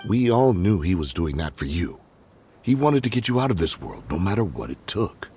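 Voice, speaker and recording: An actor playing an earnest, loyal mercenary burdened by guilt, speaking emphatically in a video game. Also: male voice, low voice, very animated, normal speed, darkish tone, slight background noise. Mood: earnest, pained. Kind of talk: video game dialogue acting. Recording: music clearly behind the voice.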